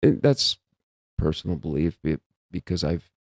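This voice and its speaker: A man with a very low, gravelly voice talks in a loose, meandering way, breaking off his own sentences as he thinks aloud. His tone is dark and mellow, and the sound is close and dry. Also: very animated, normal speed, dry recording. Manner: meandering, self-interrupting, musing aloud. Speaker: host. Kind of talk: rambling casual monologue.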